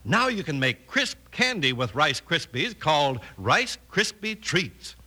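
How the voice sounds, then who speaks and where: A man's voice: a little high, sing-song, unhurried, sharp tone. An American man announcing and narrating in scripted 1950s radio style, with a polished showman's delivery. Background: music.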